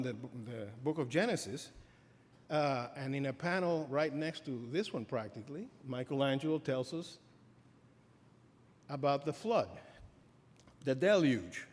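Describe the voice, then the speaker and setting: A middle-aged man giving an academic lecture as a professor, halting with many fillers and restarts. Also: a little high. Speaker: professor giving a keynote address. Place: lecture hall. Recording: reverberant hall, faint ambience.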